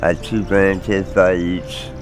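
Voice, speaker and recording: An American man in his forties giving halting, candid personal testimony in a street interview. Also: low-pitched, level tone, music in the background. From Maryland, United States. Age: 40s. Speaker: homeless interviewee.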